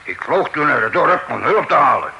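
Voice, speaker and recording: A man's voice: fairly high pitch, sing-song, normal speed, creaky, bright, forceful tone, a little hiss or hum, full character voice, poor audio. An actor playing a witness in an old radio play, hesitant at first, then telling his account steadily. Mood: testifying.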